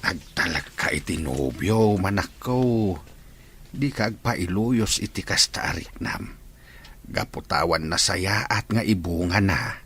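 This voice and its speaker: A man's voice: low-pitched, slow pace, fairly bright tone, slight background noise. A man with an Ilocano accent playing a devoted, reassuring man in a family audio drama. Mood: gentle, advising.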